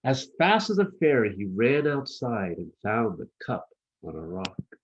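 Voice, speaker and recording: A man reading his own children's book as a storyteller, with expressive, measured narration. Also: on the low side, breathy edge, lo-fi sound.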